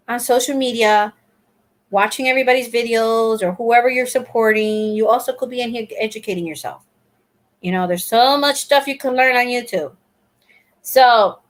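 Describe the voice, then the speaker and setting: A woman's voice: a little high, normal speed. An older woman from Puerto Rico, the host of a crochet channel, chatting casually on a live stream in a rambling way with many fillers and restarts.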